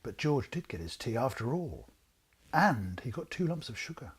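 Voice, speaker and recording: A man reading a children's storybook aloud in a theatrical, suspenseful way, with a husky voice that drops into breathy whispers. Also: medium pitch, very animated, normal speed. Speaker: author reading own book.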